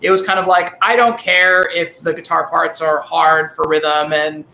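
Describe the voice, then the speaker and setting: Man, high thin voice, Delco accent, bright gravelly tone, echoey call, halting talk. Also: level tone, a little hiss or hum. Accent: Delaware County, Pennsylvania. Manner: rambling, halting with many restarts. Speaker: guest musician. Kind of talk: casual remote podcast chat. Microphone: dynamic vocal mic over a call.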